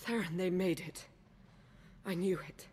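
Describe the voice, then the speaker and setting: An actress playing an earnest, composed agent in a video game, speaking in a formal, measured way. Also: female voice, on the low side, darkish tone, breathy edge, soft background music. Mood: relieved. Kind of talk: cinematic video game acting.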